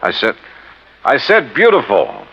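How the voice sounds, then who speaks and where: Lively, wry American radio detective. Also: male voice, on the low side, measured pace, creaky, a little hiss or hum, exaggerated voice. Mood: polite, probing. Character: wry, skeptical male insurance investigator. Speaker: lead actor and narrator of a radio detective serial. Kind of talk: old-time radio detective acting and first-person narration. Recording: dull old radio recording, music behind.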